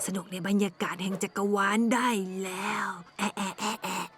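A woman's voice: mid-range voice, fairly even intonation, normal speed, lightly acted. A woman voicing a dramatic, fussy young boy in a Thai cartoon dub. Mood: curious.

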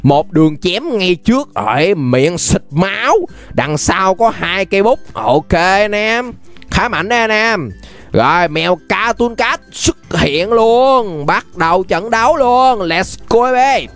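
A young man with a southern Vietnamese accent giving excited, exclamatory gameplay commentary, often repeating phrases as he reacts. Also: high-pitched, takes it slowly, faint music behind. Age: young adult. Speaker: gaming YouTuber, host. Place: indoors at a gaming setup. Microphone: desk microphone close to the face.

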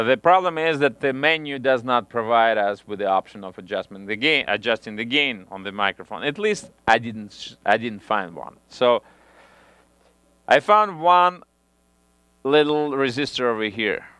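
Man with a smooth, dry voice. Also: medium pitch, animated, bright, forceful tone, no echo. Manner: halting, non-native phrasing with restarts. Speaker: audio equipment reviewer. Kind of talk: hands-on equipment demonstration. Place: small home studio, indoors. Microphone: headset mic.

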